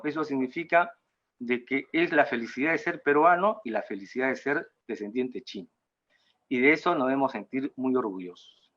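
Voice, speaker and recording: A mature man with a Peruvian accent gives an informal talk in a halting way, often tagging phrases with a question seeking agreement. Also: mid-range voice, a bit bright, dull audio. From Peru. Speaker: guest speaker at a cultural talk. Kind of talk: informal lecture in an online talk.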